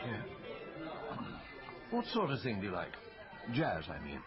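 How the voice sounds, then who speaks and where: A man's voice: lowish voice, wildly swooping pitch, measured pace, music in the background, crowd noise. Musing, slightly pompous male doctor. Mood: affable, musing. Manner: reflective, slightly pompous, digressive. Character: educated, musing male doctor and lone amateur investigator. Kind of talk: naturalistic radio drama acting. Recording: dull lo-fi radio recording.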